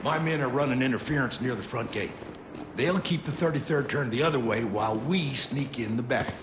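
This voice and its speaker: An American actor playing a gruff, cocky, middle-aged CIA field agent in a video game, folksy and condescending. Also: male voice, mid-range voice, fairly quick, noisy background, lightly acted. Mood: smug, assured. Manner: folksy, condescending, tough-talking. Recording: echoey game scene with battle ambience.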